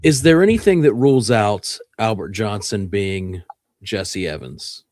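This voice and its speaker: A man's voice: fairly low pitch, animated, unhurried, a little mellow, no room sound, clean studio sound. A man co-hosting a show in casual chat, chipping in with short, wry interjections. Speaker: radio show co-host.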